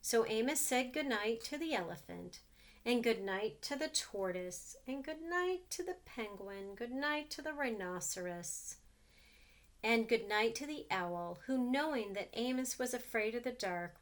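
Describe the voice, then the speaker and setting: A woman reading a picture book aloud to children, gently pausing to ask them questions about the pictures. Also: a little high, expressive.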